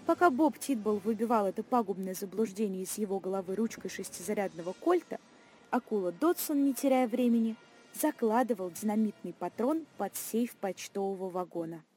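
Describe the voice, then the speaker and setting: A woman's voice: mid-range voice, lively intonation, fairly quick, warm tone, faint music behind. A young woman reading scripted narration for an audio play of a short story. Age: young adult.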